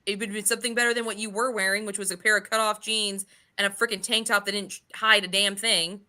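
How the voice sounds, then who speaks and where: Bright, exasperated woman chatting casually. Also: mid-range voice, fairly even intonation, fast talker. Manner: swearing, exasperated rhetorical questions. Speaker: book club podcast host. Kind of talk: casual opinionated book discussion.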